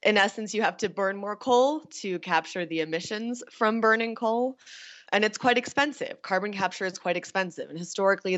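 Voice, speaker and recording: Lowish woman reporter, slightly dull sound. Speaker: energy reporter. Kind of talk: explanatory news interview.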